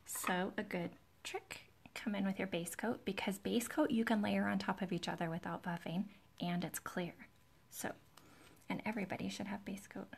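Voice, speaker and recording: A woman hosting a live nail tutorial in a chatty, relaxed way, with a soft, breathy, soothing voice that often drops near a whisper, close to the microphone. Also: medium pitch, moderate pace. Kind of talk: chatty live-stream demonstration, greeting viewers. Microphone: close to the camera's microphone.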